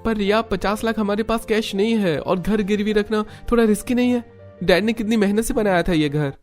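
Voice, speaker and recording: A man voicing a weak-willed young husband in a cartoon, pleading in a high strained voice. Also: fairly quick, soft background music, lightly acted. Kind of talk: Hindi cartoon voice acting.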